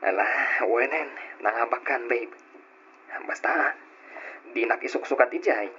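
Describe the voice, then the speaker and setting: An actor playing a playful young boyfriend in a radio drama. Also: male voice, medium pitch, measured pace, pushed and bright. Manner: teasing, playful. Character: young man, affectionate boyfriend. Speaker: boyfriend character. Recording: dull lo-fi radio recording with faint hiss.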